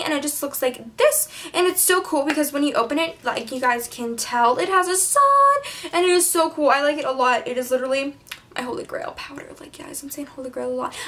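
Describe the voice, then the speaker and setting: A high-pitched teenage girl talks through her makeup routine in a loose, chatty way. She keeps dropping in fillers and laughing at herself, and her voice sounds a little hoarse, like it's giving out. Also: a bit bright, slight background noise. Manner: chatty, many fillers, self-mocking asides. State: slightly hoarse, losing her voice. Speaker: beauty vlogger. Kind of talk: casual makeup tutorial chat.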